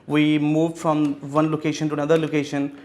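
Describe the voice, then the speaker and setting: Man from Pakistan, thirties, interview guest, monotone, fillers and restarts. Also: fairly high pitch. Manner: halting, many fillers and restarts. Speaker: real estate executive guest. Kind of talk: interview answers.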